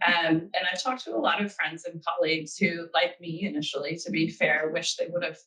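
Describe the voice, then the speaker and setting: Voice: mid-range voice, steady tone, fairly quick, pushed and bright, distant mic, low-quality recording. A speaker with an American accent giving a webinar talk, earnest and thinking aloud with frequent ums. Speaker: guest speaker.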